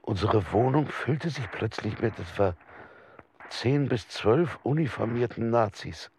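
An actor playing a persecuted husband in a documentary radio drama, recounting his sworn testimony in a formal, measured, precise way. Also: mid-range voice, expressive, darkish tone, a little hiss or hum. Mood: sober, grave.

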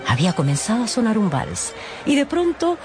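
A woman's voice: fairly high pitch, huge pitch swings, brisk, music in the background. A woman narrating a dramatized radio audiobook expressively, with conversational asides.

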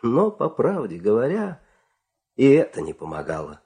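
A man narrating a fairy-tale audio play in a theatrical, expressive way, with dramatic pauses. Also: mid-range voice. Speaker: storyteller, narrator.

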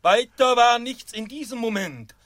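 High-voiced, earnest captive preacher. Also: male voice, animated, a bit bright, no room sound, clean studio sound, exaggerated voice. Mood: solemn, earnest. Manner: halting, solemn, pleading. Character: gentle, earnest captive preacher, frightened yet steadfast. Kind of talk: literary radio play acting.

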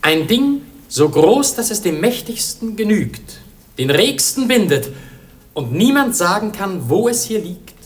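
Lovestruck noble prince, impassioned declaiming, lively higher voice, classic radio play. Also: male voice, unhurried. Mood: exultant. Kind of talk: theatrical German radio play acting.

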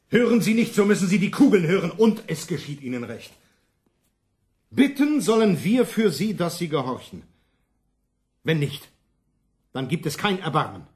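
An actor in a German radio play voicing a stern, merciless preacher who denounces rebels in harsh declamation. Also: male voice, high voice, sing-song, moderate pace, long pauses. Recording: echoey room.

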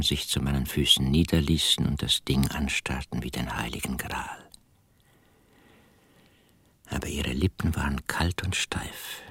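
Very deep, husky brooding country man. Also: a bit bright, no room sound, full character voice. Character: brooding rural husband proudly owning a mysterious jar. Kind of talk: radio drama first-person narration.

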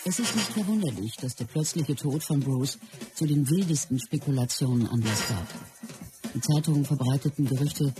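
Sober widow telling her story in a radio play, measured, loud music behind her. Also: very deep voice. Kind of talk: scripted radio drama narration.

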